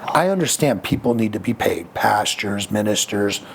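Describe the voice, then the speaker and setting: Gravelly-voiced man telling his story frankly. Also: lowish voice, animated, moderate pace, a little hiss or hum. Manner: frank, reflective, with frequent fillers and restarts. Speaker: podcast guest. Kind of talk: candid conversational storytelling.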